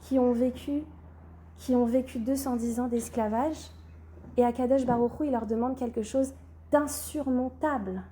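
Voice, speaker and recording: Woman teacher with a cold, high warm voice, faint room hum behind. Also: steady tone, moderate pace. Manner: questions the class, then answers, often saying "d'accord?". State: has a cold. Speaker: religious teacher. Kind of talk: recorded Torah class.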